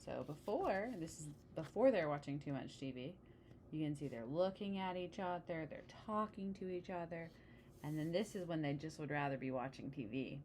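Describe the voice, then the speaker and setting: A woman with a fairly low voice reads a children's storybook aloud. She slips into conversational asides to explain the pictures to young listeners. A faint noise sits behind her voice. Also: lively intonation, normal speed, darkish tone. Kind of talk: picture-book read-aloud with casual asides.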